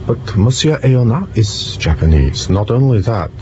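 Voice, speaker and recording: A man playing a polite, precise young gentleman detective in an audio drama, courteous and measured. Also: fairly low pitch, lively intonation. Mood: calm, confident. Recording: muffled, noisy vehicle ambience behind.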